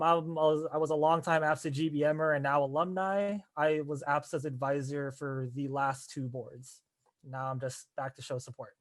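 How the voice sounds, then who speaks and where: A young man, a former club advisor, talking in an easygoing, unhurried way on a casual podcast. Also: on the high side, dry recording. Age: young adult.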